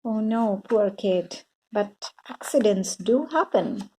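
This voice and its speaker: A woman reading a children's bedtime story aloud in a deliberate, expressive way for young listeners. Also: mid-range voice, husky, low-quality recording.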